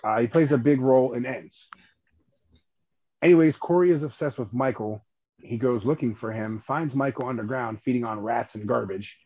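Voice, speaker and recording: Man, podcast co-host, husky, rambling, stammering, swears freely, muffled lo-fi recording. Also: medium pitch, moderate pace, a little mellow. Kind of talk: casual horror movie chat.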